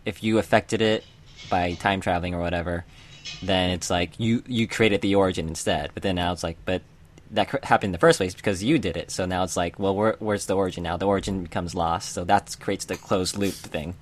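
Casual male co-host, fairly low voice, meandering explainer, slightly noisy room tone. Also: fairly even intonation, brisk. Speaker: podcast co-host. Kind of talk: casual chat. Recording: noticeable background noise.

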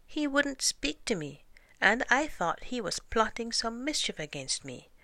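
A woman voicing an indignant, suspicious male python, full of excuses, in an animated folktale. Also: fairly high pitch, a little slow, dry recording, voice pushed up, heavily acted. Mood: aggrieved. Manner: indignant, self-justifying.

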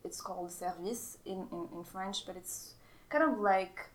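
A young woman from Morocco, speaking, at a mid-range pitch. She chats easily and laughs at herself, often stopping to hunt for a word. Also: unhurried, slight background noise. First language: Arabic. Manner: chatty, giggly, self-deprecating, searches for words. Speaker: guest. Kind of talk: casual interview.

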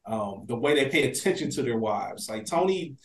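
A man co-hosting a casual TV recap podcast, talking in an animated, slangy way with plenty of swearing. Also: mid-range voice, moderate pace. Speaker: podcast co-host. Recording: roomy, echoey sound.

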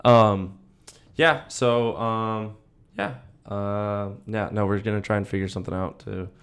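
A man's voice: lowish voice, fairly even intonation, a little slow, a bit bright, clear, resonant tone. A young American man co-hosting a podcast, chatting casually in a laid-back, slangy way. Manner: laid-back, slangy talk peppered with dude and bro. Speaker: podcast co-host. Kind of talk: casual chat, personal anecdotes.

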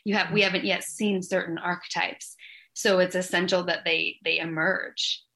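A woman's voice: on the low side, steady tone, moderate pace, pushed and bright. A woman hosting a podcast interview, talking reflectively and searching for words, with spiritual language. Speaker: podcast host.

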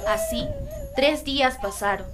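A woman's voice: sits high, huge pitch swings, unhurried, over loud music. A woman as narrator of a radio drama, reading scripted story narration.

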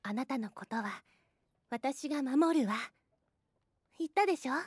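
A woman playing a devoted, protective schoolgirl with shy affection and gentle, reassuring phrasing in a voice drama. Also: high voice, lively intonation, measured pace, husky, dry recording, studio quality, heavily acted. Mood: hushed, protective. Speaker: voice actor. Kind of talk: Japanese anime-like voice drama acting.

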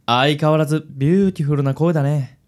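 A radio drama heartthrob played by an actor, a suave and gallant man with a medium-pitched voice. He speaks smoothly and theatrically, with flowery flourishes and bits of English. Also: brisk, lightly acted. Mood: flirtatious, gallant. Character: suave, gallant playboy man nicknamed Handsome.

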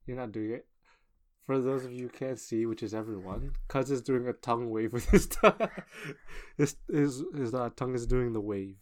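A man's voice: medium pitch, normal speed. A man hosting a podcast in casual chat, teasing his co-hosts and laughing often. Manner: teasing, laughs often, keeps coaxing others. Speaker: podcast host.

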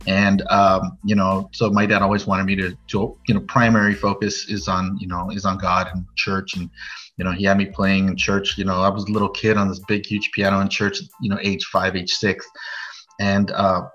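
Low-voiced, even-toned American man in his forties. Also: normal speed. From Norwalk, California, USA. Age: late 40s. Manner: rambling, frequent "you know". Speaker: podcast guest, DJ. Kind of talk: personal storytelling in a casual interview. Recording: muffled lo-fi audio over music.

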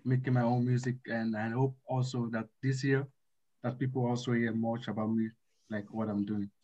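Male interview guest, informal and slangy, medium pitch, somewhat dull lo-fi audio. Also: level tone, moderate pace, warm tone. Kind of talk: casual chat.

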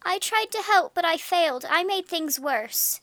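A woman's voice: sits high, moderate pace, fairly bright tone, clear, resonant tone, dry recording, voice pushed up. A woman voicing a frightened young woman with magic, speaking shakily through tears. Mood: sobbing. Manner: shaky and tearful, voice rising when upset.

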